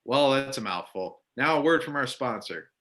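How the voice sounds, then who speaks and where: A man acts as a sensational late-night radio host in a readers theater play. The delivery is forceful and bright, with dramatic hype. The pitch sits in the middle. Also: lively intonation, normal speed, close to an everyday voice. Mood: breezy, sensational. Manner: hyping, dramatic.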